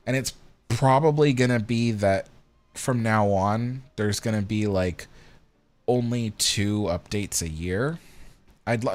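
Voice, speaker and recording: A man's voice: lowish voice, darkish tone. A tired man in his thirties hosting a casual livestream, rambling with many ums as he answers viewer comments. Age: 30s. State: tired, yawning. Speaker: livestream host.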